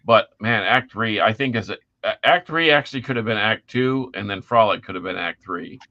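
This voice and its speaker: Middle-aged male podcast co-host, bright edge to a low voice, informal and keen, lo-fi sound. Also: moderate pace. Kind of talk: casual music chat.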